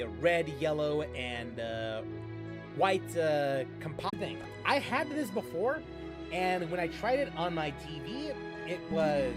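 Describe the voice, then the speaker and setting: Young man, high voice, animated pitch, rambling casual vlog talk, music behind. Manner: rambling, many fillers and restarts. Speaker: gaming channel host.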